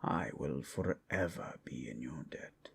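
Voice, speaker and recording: Give this fantasy roleplay a proud, protective red dragon. The voice is very low and thick with gravelly creak, breathy at the edges. Every phrase comes extremely slowly, formal and grandiose, menacing yet capable of tenderness. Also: male voice, expressive, full character voice. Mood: solemn, tender. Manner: slow, grandiose, formal dramatic delivery. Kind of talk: improvised fantasy ASMR roleplay.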